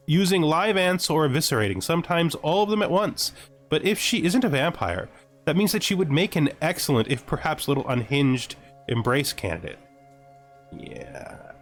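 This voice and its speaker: A man commentating casually on a video game he plays, thinking aloud and reading text from the screen. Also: fairly high pitch. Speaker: gameplay streamer.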